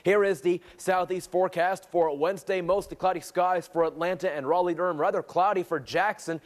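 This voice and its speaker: A man with an American accent presenting a weather forecast as a television meteorologist. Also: high-pitched.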